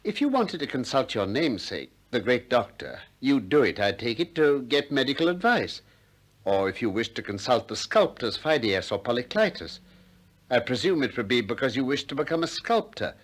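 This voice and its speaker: An actor playing a probing, gently ironic Greek philosopher who also narrates, in a 1960s radio play. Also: male voice, medium pitch, very animated. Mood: probing, cautioning. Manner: questioning, gently ironic, deliberate.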